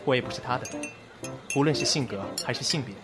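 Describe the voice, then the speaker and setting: 一名男配音演员扮演一位业余心理复健师，说话冷静，带点调侃。. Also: male voice, mid-range voice, quick pace, music in the background, natural voice. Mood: composed. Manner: dry, teasing, composed. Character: wry young man, amateur therapist, calm and sly. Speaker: psychological rehab counselor.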